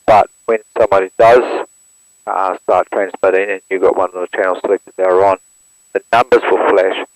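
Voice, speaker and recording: A man, walking through how to operate an aircraft radio step by step in a plain, steady manner, recorded through an intercom headset. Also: low voice, moderate pace, no room sound, poor audio. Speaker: instructor demonstrating an aircraft radio. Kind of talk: hands-on equipment walkthrough.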